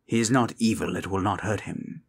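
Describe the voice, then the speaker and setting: A man voicing a grave dark sorcerer turned to good, solemn, measured and commanding, in a fantasy ASMR roleplay. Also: lowish voice. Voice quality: husky.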